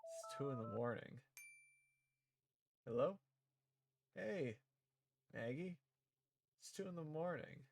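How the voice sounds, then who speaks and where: A man's voice: fairly high pitch, animated, slow, vocal fry, long pauses. A man reading visual novel dialogue aloud and performing the parts, with casual asides as a streamer.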